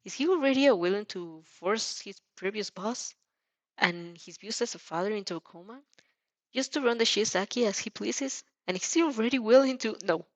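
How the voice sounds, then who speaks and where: A woman narrating fan fiction in the second person, expressive and dramatic, now and then stumbling and rereading a line. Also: medium pitch, moderate pace, no echo. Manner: expressive, stumbles over words and rereads lines. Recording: dull, muffled lo-fi sound.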